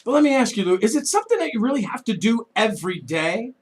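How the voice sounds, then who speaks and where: A man's voice: sits high, very animated, fast, slightly rough. A man in his late forties from New York, hosting a radio interview, chatty and joking as he asks eager questions. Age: 40s. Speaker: radio host. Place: radio studio. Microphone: studio microphone.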